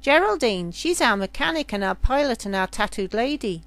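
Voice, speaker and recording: A woman's voice: fairly high pitch, lively intonation, normal speed, a bit bright. A woman voicing a tall, thin, brusque and matter-of-fact man from a spaceship circus, in a story reading.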